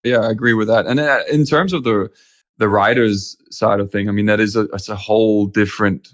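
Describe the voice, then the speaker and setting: A man's voice: fairly low pitch, fairly even intonation, a little fast, no echo. A man from Denmark, a guest in a casual interview, talking in a rambling way with many fillers and restarts. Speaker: guest, animation creative lead. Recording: dull lo-fi audio.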